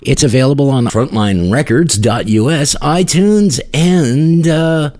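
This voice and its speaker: A man's voice: mid-range voice, measured pace, a little mellow, no room sound, clean studio sound. A man hosting a podcast in casual banter, wry, tongue-in-cheek and self-deprecating. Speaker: podcast host. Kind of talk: casual podcast banter, partly scripted announcements.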